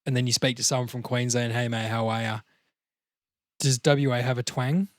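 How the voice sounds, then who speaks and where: A man's voice: medium pitch, fairly even intonation, normal speed, no room sound, clean studio sound. An Australian man hosting a podcast in casual banter, with a rambling, sweary way of telling stories. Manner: rambling, sweary, digressive storytelling. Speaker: podcast host.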